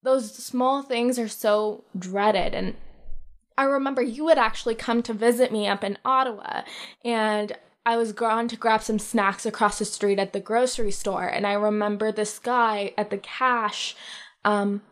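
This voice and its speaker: A woman's voice: fairly high pitch, a little slow. A young woman born in Pakistan, telling personal stories in a casual conversation and acting out the people she quotes. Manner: animated storytelling, acts out quoted people.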